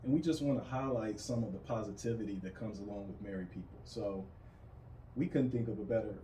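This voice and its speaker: A man's voice: fairly low pitch, a little fast, warm tone. A man hosting a casual podcast interview. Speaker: podcast host. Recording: roomy, distant mic, faint noise.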